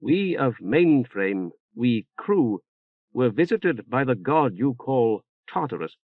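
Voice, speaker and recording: A small, solemn flyer from an audiobook novel, voiced by a male narrator. His delivery is grave and deliberate. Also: mid-range voice, sing-song, no echo, phone-quality sound.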